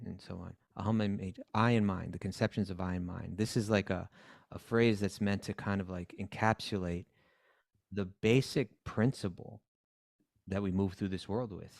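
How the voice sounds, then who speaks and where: Low gravelly man explaining. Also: no room sound, clean studio sound. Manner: explanatory, measured, uses hesitation fillers. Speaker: podcast co-host. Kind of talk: casual scripture discussion.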